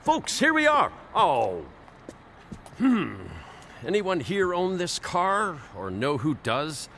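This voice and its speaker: A cheery veteran tour guide in a radio play, with a fairly high voice that bounces all over. He is a folksy showman and corny joker, heard over clear vehicle noise. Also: big pitch swings, takes it slowly, a bit bright, exaggerated voice. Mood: cheerful, flustered. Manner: jovial, folksy showman, addresses the group as folks. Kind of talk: audio drama acting, tour patter.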